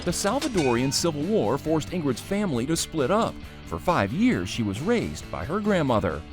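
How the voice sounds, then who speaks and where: A woman reporter delivering scripted news feature narration in a smooth, measured way. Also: low voice, sing-song. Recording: music bed underneath.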